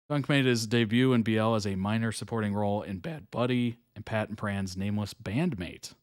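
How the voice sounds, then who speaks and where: A man's voice: on the low side, no room sound, clean studio sound. A man hosting a live reaction video, reading captions aloud and adding amused, casual comments with frequent laughs. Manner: reads on-screen captions aloud, then reacts with amused asides; laughs often between remarks.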